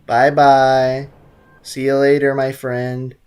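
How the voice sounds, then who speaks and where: A man's voice: mid-range voice, completely flat delivery, takes it slowly, a little mellow, clear, resonant tone. A young man casually joking and rambling through a video game playthrough. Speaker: gaming YouTuber. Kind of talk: casual let's-play commentary. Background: game music.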